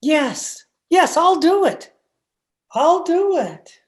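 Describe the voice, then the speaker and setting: A woman's voice: very high voice, animated, drawn-out words, heavily acted. A woman playing a timid, henpecked husband who stammers and hesitates, in old time radio horror style. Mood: self-justifying resolve. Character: timid, henpecked, frightened husband, a meek little man. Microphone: video call.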